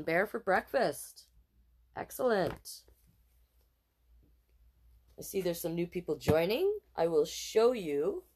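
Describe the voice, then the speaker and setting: Slow-paced, lively woman storyteller. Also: low voice. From Canada. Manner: expressive, deliberate, playful sound words. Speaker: storytime host and drag king performer. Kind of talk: animated children's picture book read-aloud with casual asides.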